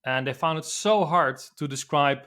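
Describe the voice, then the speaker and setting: A man in his forties from the Netherlands with a Dutch accent, answering as a podcast guest in a relaxed, hesitant way with many fillers and restarts. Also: a little high, moderate pace. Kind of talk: casual interview answers.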